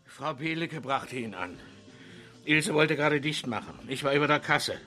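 An actor playing a shaken innkeeper in a 1970s German radio crime drama. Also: male voice, on the high side, animated, moderate pace, sharp tone, reverberant, faint music behind. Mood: subdued, recounting. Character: innkeeper shaken after finding a lodger dead.

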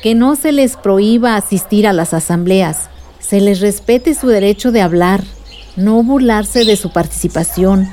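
A woman's voice: medium pitch, unhurried, dark tone, slight background noise, close to an everyday voice. An actress playing an adult village woman, a patient, earnest activist recounting memories in a radio drama. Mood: moved, proud. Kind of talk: radio drama dialogue and narration.

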